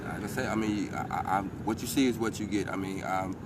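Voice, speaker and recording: A man's voice: lowish voice, fairly even intonation, fast talker. A man from Toledo, answering interview questions outdoors with many restarts and fillers. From Toledo, Ohio. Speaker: interview guest, rapper. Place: outdoors by downtown waterfront. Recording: distant mic with street noise.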